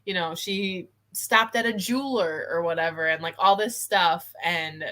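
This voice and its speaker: A woman's voice: lowish voice, normal speed, sharp tone. A woman co-hosting a casual book discussion livestream, chatty and informal, often giggling as she talks. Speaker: co-host of book discussion live show. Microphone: video call over internet.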